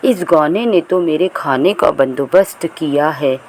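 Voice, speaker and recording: A woman's voice: low voice, unhurried, a little mellow, a little hiss or hum. A woman voicing a sly, greedy female cat, the scheming villain of a children's cartoon story. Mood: gloating.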